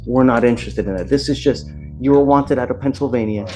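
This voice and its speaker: A man's voice: medium pitch, quick pace, warm tone. A man speaking as a judge in a courtroom, informal, blunt and plain-spoken. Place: indoor courtroom. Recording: courtroom audio with music added.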